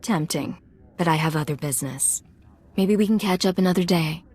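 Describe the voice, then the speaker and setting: A woman's voice: on the low side, fairly quick, darkish tone, slightly rough. A woman playing a cool, confident secret agent posing as a mercenary in a video game, composed and guarded. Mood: coaxing, teasing.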